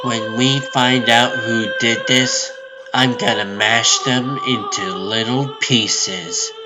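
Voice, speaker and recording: Man voicing an earnest, slow-spoken young son. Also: medium pitch, steady tone, close to an everyday voice, poor audio. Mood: mournful resolve. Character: earnest, vengeful young son mourning a friend. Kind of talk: dramatic comic dub acting. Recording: loud music over the voice.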